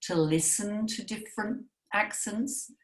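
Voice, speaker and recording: A woman's voice: medium pitch, measured pace, reverberant. A woman who is a language coach, chatting casually and emphatically, often adding "you know" and "right?". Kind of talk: casual podcast chat.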